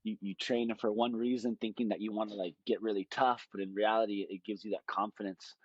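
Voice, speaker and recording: A man's voice: lowish voice, little pitch movement, brisk, husky, dry recording, highs cut off. A man, telling stories in a rambling, easygoing way as a podcast guest. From Hawaii. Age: late 20s. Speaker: guest, jiu-jitsu fighter. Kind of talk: casual podcast conversation.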